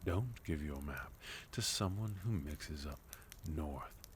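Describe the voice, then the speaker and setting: Male actor as sophisticated villain leader for ASMR roleplay: extremely low, unhurried, formal, quietly threatening, faint noise behind. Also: expressive, full character voice. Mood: dry, amused. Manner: formal, polite, measured, with deliberate pauses. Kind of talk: ASMR roleplay, intimate second-person acting.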